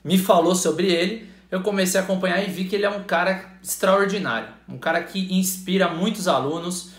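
A man's voice: high voice, brisk, reverberant. A Brazilian man hosting an online interview in an enthusiastic, informal, slangy way. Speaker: interview host, physical education professional.

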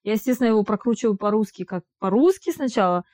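A woman at an ordinary middle pitch, with a dark, mellow tone, talks in a casual conversation. She hesitates often, restarts words and fills pauses as she explains. She speaks Russian but lives in Israel. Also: animated. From Russian-speaking immigrant living in Israel. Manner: hesitant, halting with restarts and fillers. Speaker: interviewee.

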